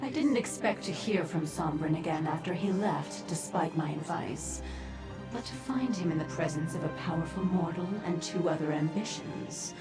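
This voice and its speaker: An actress voicing a composed female demon in a fantasy game, speaking in a formal, measured and imperious way. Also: lowish voice, lightly acted. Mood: composed, commanding. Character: composed female Dremora demon offering dangerous sanctuary. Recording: echoing reverberant voice over music.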